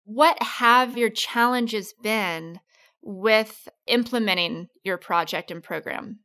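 A woman's voice: mid-range voice, slow, pushed and bright. A woman interviewer asking questions in an enthusiastic, admiring way.

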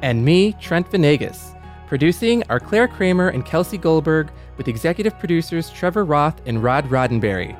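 A man's voice: on the high side, expressive, normal speed, soft background music. A man co-hosting a podcast in a casual, reflective conversational style. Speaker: podcast co-host.

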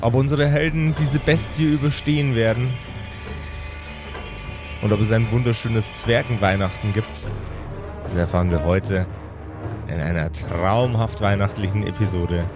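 A man's voice: lowish voice, normal speed, pauses often, music in the background. A man narrating an improvised tabletop adventure as game master, switching between dramatic storytelling and casual asides. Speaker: game master and podcast host.

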